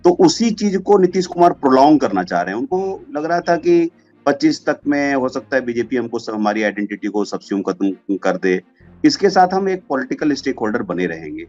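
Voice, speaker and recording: A man's voice: medium pitch, big pitch swings, fairly quick, a little mellow. Guy doing informal political analysis, drops english words in, dull lo-fi sound, music behind. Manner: mixes English terms into his speech. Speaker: political commentator. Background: background music.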